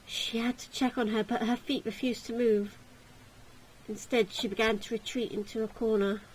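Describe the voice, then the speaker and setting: A woman reading a visual novel aloud as a let's player, narrating the story in an expressive, theatrical way. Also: medium pitch, a little hiss or hum, poor audio.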